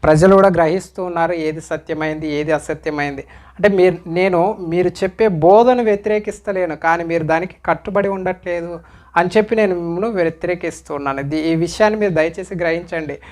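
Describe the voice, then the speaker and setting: A man's voice: high-pitched, fairly even intonation, fairly quick, a little mellow. Admonishing man giving commentary. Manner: admonishing, questioning, repeatedly urging listeners to reflect. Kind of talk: direct-to-viewer commentary.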